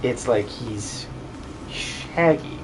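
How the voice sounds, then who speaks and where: A man's voice: mid-range voice, animated, extremely slow, background noise. A man streaming in a casual, joking way, with a goofy, teasing manner. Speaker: streamer and amateur voice dubber. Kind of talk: casual joking livestream chat.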